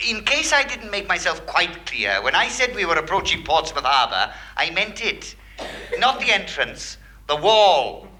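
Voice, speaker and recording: An actor playing an insolent, mock-polite naval lookout in a radio sitcom, on a very noisy old recording. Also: male voice, a little high, sing-song, unhurried, sharp tone, full character voice. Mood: sarcastic. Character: cheeky, sarcastic naval lookout, leading seaman. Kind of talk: British radio sitcom acting.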